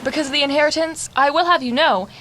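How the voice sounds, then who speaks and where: Woman playing a haughty ex-wife, highish voice, background noise. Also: quick pace, a bit bright, rough recording. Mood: defensive. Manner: indignant, snappish. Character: haughty, impatient wealthy ex-wife, a murder suspect. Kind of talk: radio play acting.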